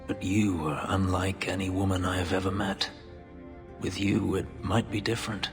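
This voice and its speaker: A man playing a guarded, brooding elf and former slave in a video game, with a solemn, halting delivery. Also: flat delivery. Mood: guarded, tender. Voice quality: deep, low voice. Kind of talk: dramatic video game dialogue. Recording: reverberant hall sound with music underneath.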